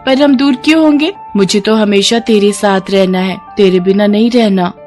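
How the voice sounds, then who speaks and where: A woman's voice: mid-range voice, normal speed, a little mellow, faint music behind, close to an everyday voice. A woman voicing a sweet little girl devoted to her sister in a storybook tale. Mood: happy, affectionate.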